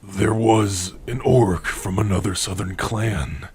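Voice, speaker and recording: A man playing a gentle, proud orc warrior and blacksmith in an intimate ASMR roleplay, speaking tenderly in a husky, breathy voice with long pauses. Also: on the low side, fairly even intonation, heavily acted. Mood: fond, reminiscing. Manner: slow, tender, measured delivery with long pauses. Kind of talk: ASMR roleplay, intimate second-person acting.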